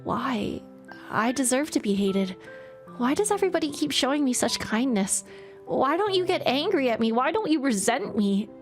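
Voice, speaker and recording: A woman voicing a timid, guilt-ridden young woman who speaks haltingly and apologetically. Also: high voice, big pitch swings, soft background music, voice pushed up. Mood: anguished.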